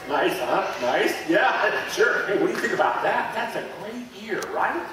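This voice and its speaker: A man's voice: high-pitched, animated, measured pace, a crowd in the background. Malchus, a man playing a giddy ancient servant. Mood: thrilled. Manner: bubbly, breathless, lots of laughter and exclamations. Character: excitable ancient servant man, amazed and chatty. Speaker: actor in a character monologue. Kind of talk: first-person dramatic monologue. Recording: echoey room, mic far off.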